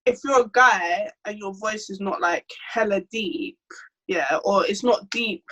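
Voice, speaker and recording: A woman co-hosting a podcast, chatting casually in an animated, emphatic way and laughing often; she says her own voice is deep. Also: unhurried, poor audio. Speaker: podcast co-host. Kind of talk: casual chat.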